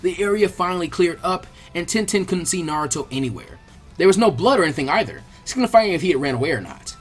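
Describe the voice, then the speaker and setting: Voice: medium pitch, very animated, quick pace, breathy edge. A narrator reading an action story aloud as a dramatic, scripted audiobook. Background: background music.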